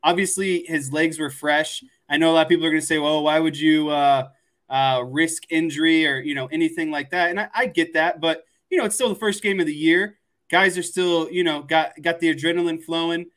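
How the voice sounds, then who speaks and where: A man's voice: a little high, steady tone, brisk, a bit bright. A man co-hosting a sports talk show, giving his opinions in a rambling, conversational way. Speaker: sports podcast co-host. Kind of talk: casual sports commentary chat.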